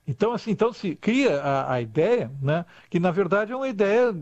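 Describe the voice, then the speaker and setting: A man's voice: high voice, sing-song, brisk, a little mellow, no echo. Older man, talkative and dull-toned. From Rio Grande do Sul, Brazil. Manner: rambling, lots of restarts and "tá?" tag questions. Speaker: guest expert. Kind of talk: conversational interview. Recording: dull lo-fi dry sound.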